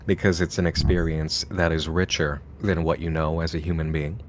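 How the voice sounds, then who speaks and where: A man delivering an earnest, deliberate philosophical monologue. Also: very deep voice, level tone, noisy background.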